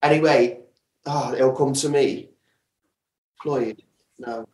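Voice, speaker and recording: A man chatting casually as a podcast guest, often halting to search for words. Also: mid-range voice, fairly even intonation, darkish tone. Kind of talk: casual chat. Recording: echoey room, distant from mic.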